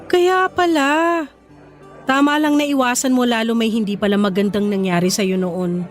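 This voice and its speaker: A young woman voicing a supportive girlfriend in casual, expressive radio drama dialogue. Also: fairly high pitch, normal speed, close to an everyday voice. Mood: sympathetic. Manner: expressive, casual, sympathetic, code-switching into English. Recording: faint music under dialogue.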